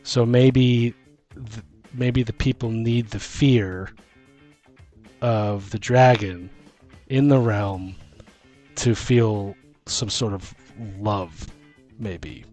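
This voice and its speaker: A man casually brainstorming fantasy lore as a podcast host, thinking aloud in halting, repeated phrases. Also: lowish voice, steady tone, mellow, soft background music.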